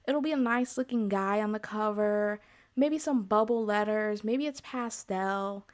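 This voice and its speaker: A woman hosting an informal explainer talk in a chatty, conversational way, speaking directly to her listeners. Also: medium pitch. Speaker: convention panel host.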